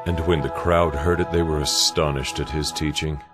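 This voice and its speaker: A man narrating a dramatized Bible story in a solemn, measured, scripted style. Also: very deep voice, fairly even intonation, music in the background.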